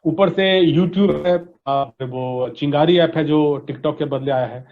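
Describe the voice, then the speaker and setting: Higher-pitched Indian man explaining, dull recording. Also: slow. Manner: didactic, frequent check-in tags. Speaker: interview guest, voice industry expert. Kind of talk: explanatory interview answers mixing Hindi and English. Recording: dull, lo-fi audio.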